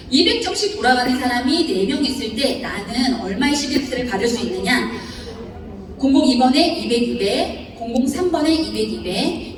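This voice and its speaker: A woman's voice: sits high, noisy background. A woman with a Chungcheong accent giving a seminar lecture to a large audience, often laughing and putting questions to the crowd. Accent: Chungcheong Korean. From Seocheon, South Chungcheong, Korea. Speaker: seminar speaker. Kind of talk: seminar lecture explaining a bonus chart. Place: large lecture hall before about 800 people. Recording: echoey hall recording from far off.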